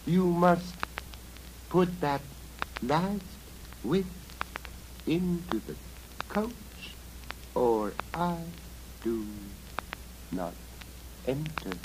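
A stubborn young maiden who lays down her terms slowly and primly, as a male storyteller gives her voice. Her lifted voice moves in lively swings and never hurries. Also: a little high, noisy background, voice pushed up. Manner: slow, firm and prim.

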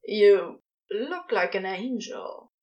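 An awkward, grumpy, smitten storybook man, acted by a woman. The words come slowly and bluntly, in a lowered pitch, with a halting, flustered delivery. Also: expressive, lo-fi sound. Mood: smitten, blurting.

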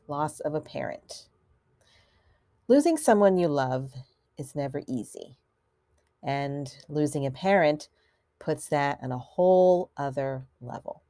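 A woman who is a counselor hosting a grief podcast, talking gently and in a measured way, a little stuffy from allergies. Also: deep voice, dry recording. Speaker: podcast host and licensed counselor. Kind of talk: solo podcast talk.

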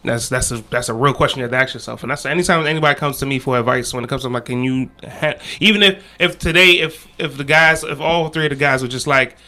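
A man's voice: mid-range voice, quick pace, few pauses. A man in his thirties from the D.C. area, chatting casually on a podcast, with loose slangy phrasing and many restarts. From Washington, D.C. area. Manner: relaxed, repetitive restarts, slangy. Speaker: podcast guest and co-host.

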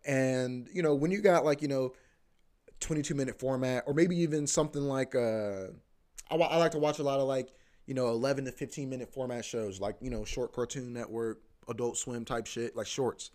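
Young male podcast host, rambling and profane, filler-heavy, mid-pitched. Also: fairly quick, darkish tone. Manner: loose and profane, heavy filler like "bro" and "you know what I mean"; repeats himself, often restarts. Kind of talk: casual rambling monologue.

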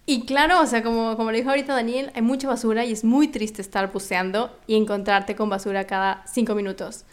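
A woman's voice: on the high side, steady tone, brisk. A woman from Mexico with a Mexican accent, explaining things casually as an interview guest. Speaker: interview guest, founder of a volunteer project.

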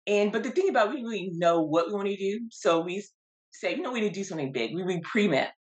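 A woman guest coach, earnest and a little halting, who is losing her voice. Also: medium pitch, animated. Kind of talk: interview conversation.

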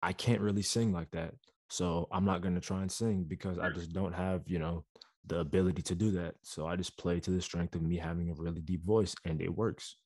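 Man, very deep voice, dry close sound, muses as he talks. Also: steady tone, brisk, darkish tone, dry recording. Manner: thoughtful, searching for words. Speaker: musician in a discussion. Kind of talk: casual chat.

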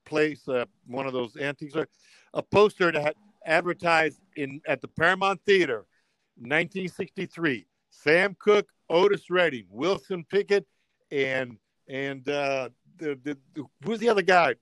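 An older man born in Italy, a comedian telling stories in a casual chat, animated and full of restarts. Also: a little high, unhurried, no room sound. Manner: animated storyteller, many restarts and fillers. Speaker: comedian guest.

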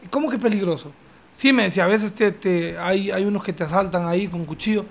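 A man's voice: high voice, a little fast, creaky. A young man from Honduras, speaking Spanish with a Honduran accent, hosting a casual, joking chat. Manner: joking, chatty, uses vos.

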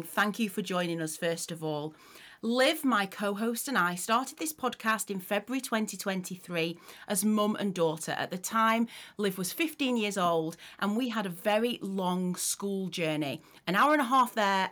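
A woman's voice: medium pitch, fairly bright tone. A middle-aged woman hosting a podcast, chatting in an encouraging way with small restarts. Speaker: podcast host. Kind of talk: casual podcast chat.

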